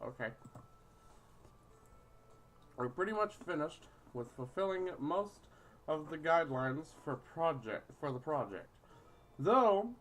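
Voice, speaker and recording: Bawdy woman narrating a game, swooping lowish voice. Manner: giggly, bawdy, theatrical reading aloud. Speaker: host of a visual novel playthrough. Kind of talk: casual playthrough narration, improvised crude joking.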